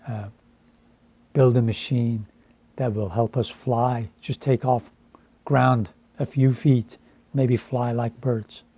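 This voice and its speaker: A man in his fifties from Iran, a Farsi speaker, speaking deliberately and earnestly to camera with frequent hesitations. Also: mid-range voice, warm tone, husky. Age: 50s. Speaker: translator. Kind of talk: earnest personal address to camera.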